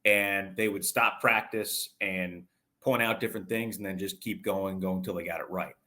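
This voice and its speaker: A man's voice: low-pitched, fairly even intonation, normal speed, pushed and bright. A man giving conversational sports analysis as an interview guest, explaining things at length and in detail. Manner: talkative, explanatory, long detailed answers.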